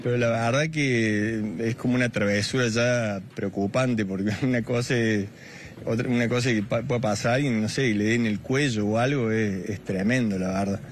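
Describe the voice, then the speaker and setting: A man's voice: mid-range voice, steady tone, normal speed, slight background noise. A man in his thirties with an Argentine accent, a neighbor telling a reporter what he saw, stumbling and repeating words. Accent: Argentine Spanish. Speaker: neighbor and witness. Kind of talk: casual interview answers. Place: outdoors on a street. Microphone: reporter's handheld mic.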